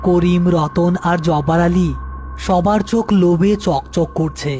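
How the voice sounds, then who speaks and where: A man's voice: high-pitched, measured pace, warm tone, soft background music. A man reading scripted narration for a horror story as narrator and channel host.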